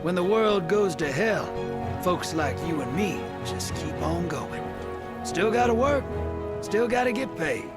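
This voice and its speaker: Slow-drawling, wry old-hand hustler. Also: male voice, high voice, lively intonation, loud music behind the voice. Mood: wry, easygoing. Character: gruff, folksy, laid-back hustler. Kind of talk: video game voice acting.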